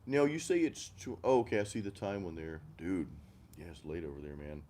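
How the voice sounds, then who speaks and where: A middle-aged man from the American Deep South hosting a casual music chat, rambling and self-deprecating with frequent restarts. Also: very animated, fairly quick, warm tone, a little hiss or hum. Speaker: host of a music chat stream. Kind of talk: casual chat over beers, reading live comments.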